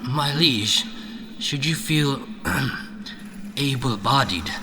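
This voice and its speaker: A man playing a dutiful fantasy soldier who speaks to his liege in a formal, deferential, measured way. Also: medium pitch. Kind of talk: scripted fantasy audio drama dialogue. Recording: noisy ambience behind the voice.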